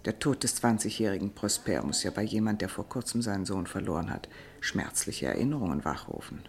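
A refined society lady from a radio play, with very lively intonation. She is gossipy but careful. She speaks expressively, as if confiding. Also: extremely low pitch, brisk. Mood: sombre, regretful. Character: cautious, gossipy society lady at a dinner party. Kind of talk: German radio play dialogue.

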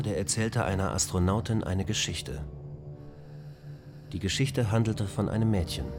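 A man voicing a deep, calm ship computer. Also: lightly acted. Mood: storytelling. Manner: even, measured, matter-of-fact. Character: calm male onboard ship computer, patient storyteller. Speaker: onboard computer telling a bedtime fairy tale. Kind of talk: German radio drama acting. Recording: radio play with music underneath.

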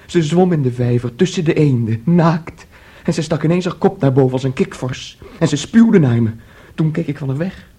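A nervous, clinging young man in a Dutch radio drama. His voice sits fairly high for a male, a little muted and husky, and he speaks in agitated, halting bursts, naive and boastful by turns. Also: mellow. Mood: troubled, confiding. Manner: agitated, halting, repeating himself. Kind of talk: Dutch radio play acting.

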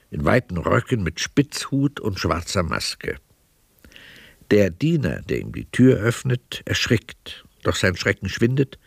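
A male narrator reads scripted scene descriptions for a radio play. His voice is fairly low with a creaky grain and varied melody, recorded dry. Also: no echo.

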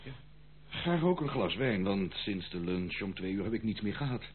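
An actor playing a charming, spendthrift prodigal son in an old Dutch radio play, pleading in a theatrical, hesitant way. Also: male voice, lowish voice, expressive, moderate pace, lightly acted. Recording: muffled phone-like old radio recording, hum.

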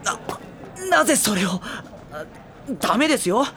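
An actor playing a modest teenage schoolboy in an audio drama, dry, self-conscious and easily flustered. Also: male voice, sits high, lively intonation, unhurried, sharp tone, full character voice. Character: modest, exasperated teenage schoolboy in a literature club. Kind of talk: anime-style audio drama acting. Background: faint background music.

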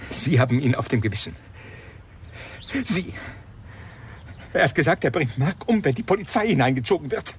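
A man's voice: fairly high pitch, big pitch swings, moderate pace, pauses often, slight background noise. A man playing a tense, curt father of a kidnapped boy in a German radio crime drama. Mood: anguished, accusing. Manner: curt, clipped, wary.

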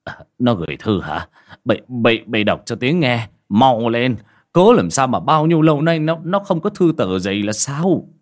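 Man acting stammering old father, swooping pitch. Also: sing-song, normal speed, close to an everyday voice. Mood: impatient. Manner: stammering, repeating words when flustered. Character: anxious, protective old rural father, stammering and kindly. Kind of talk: audiobook character acting.

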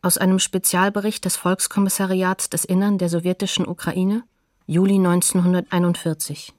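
Low-voiced woman announcer, factual. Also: little pitch movement, normal speed, darkish tone, no room sound, clean studio sound. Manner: factual, clipped. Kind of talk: scripted documentary announcements.

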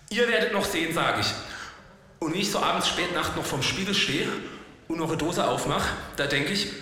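A man's voice: a little high, pushed and bright, a little hiss or hum. An actor playing a rueful yet cocky washed-up ex-punk man, rambling in slangy colloquial speech in a comic monologue. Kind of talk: spoken comic monologue to an audience. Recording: very echoey hall, long reverb.

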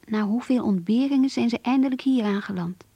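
A close, dry voice, mellow and breathy: a thoughtful young woman. She narrates intimately and reflectively, as if writing in a diary. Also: a little high, fairly quick, no echo. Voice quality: soft, breathy. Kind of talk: Dutch radio play monologue.

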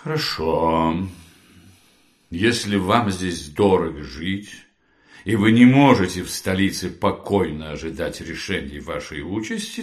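A man's voice: fairly low pitch, sharp tone, exaggerated voice. A man playing an imperious, irritable general in an audio drama, curt and commanding. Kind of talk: theatrical audiobook radio play.

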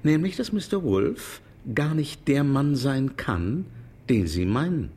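A man's voice: medium pitch, big pitch swings, slow, warm tone, husky, exaggerated voice. An actor playing a stout, dismissive London publisher in his fifties, formal and emphatic, in a German radio drama. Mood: coolly formal.

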